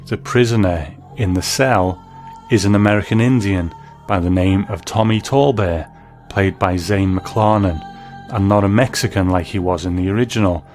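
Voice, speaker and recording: Man, lowish voice, scripted review narration, music underneath. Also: a little mellow. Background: music bed.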